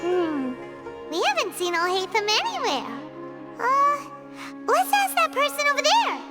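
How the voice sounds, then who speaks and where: A woman voicing a small childlike fairy companion in a video game, squeaky, chirpy, exaggerated and nosy. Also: huge pitch swings, unhurried, heavily acted. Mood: curious, chirpy. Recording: loud music behind the voice.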